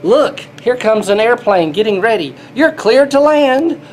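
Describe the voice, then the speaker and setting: Middle-aged man, unhurried picture-book reading, playful child-directed delivery, exclamations, noticeable background noise. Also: very high voice, lively intonation, a little mellow. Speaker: grandfather reading to children, air traffic controller. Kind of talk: children's picture-book read-aloud with commentary. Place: small indoor airport control room.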